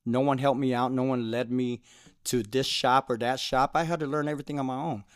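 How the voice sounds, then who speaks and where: A car club founder speaks earnestly in a casual sit-down interview. He circles back over his own phrases and keeps asking whether you know what he means. His voice is mid-pitched and the room sounds dry. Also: steady tone, brisk, clean tone, no echo.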